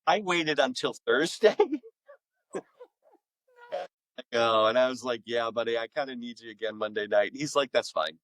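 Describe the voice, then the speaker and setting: A man's voice: medium pitch, sing-song, a little slow, pauses often, fairly bright tone, no room sound, low-quality recording. A middle-aged man, a podcast guest and author, telling casual anecdotes in an enthusiastic, rambling way.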